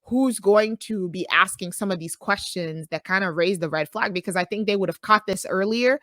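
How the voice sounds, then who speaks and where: A woman's voice: mid-range voice, moderate pace, a bit bright, dry recording, studio quality. A woman from Nigeria, a podcast co-host chatting casually and retelling a story with asides.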